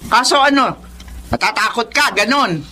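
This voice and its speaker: Sharp, scolding, protective mother. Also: on the high side, normal speed, a little hiss or hum. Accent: Filipino. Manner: indignant, probing, emphatic. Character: protective, scolding middle-aged mother of grown daughter. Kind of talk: Filipino radio drama acting.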